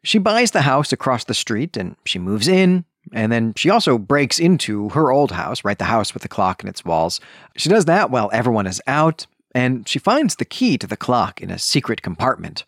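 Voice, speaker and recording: Animated man recapping a book, dry sound. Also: medium pitch, moderate pace, no room sound. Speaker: podcast host. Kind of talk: conversational book recap commentary.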